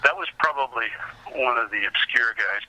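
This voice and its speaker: A man telling anecdotes as a casual interview guest, halting and rambling as he recalls details. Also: mid-range voice, sharp tone, low-quality recording. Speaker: podcast guest, comics researcher.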